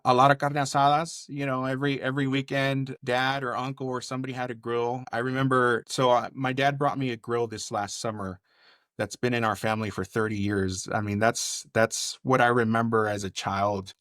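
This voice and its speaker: A man's voice: medium pitch, little pitch movement, fairly quick, a bit bright, no echo, studio quality. A man from California who works as a chef, talking casually and in detail as a podcast guest, reminiscing in a conversational way. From Bakersfield, California. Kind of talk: casual interview storytelling.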